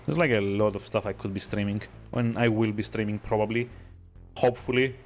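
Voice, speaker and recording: A man's voice: lowish voice, steady tone, fairly quick, soft background music. A man giving casual live game commentary, rambling and joking as he chats to his viewers. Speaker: game streamer.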